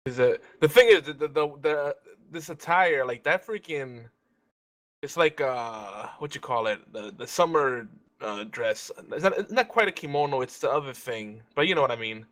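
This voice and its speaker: A man chatting casually as a podcast co-host, explaining things in a loose, halting way. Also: medium pitch, animated, a bit bright. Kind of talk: casual chat. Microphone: online voice chat mic.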